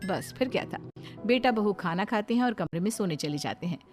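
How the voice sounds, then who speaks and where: A woman's voice: medium pitch, lively intonation, brisk, soft background music. A woman giving scripted narration of a moral story.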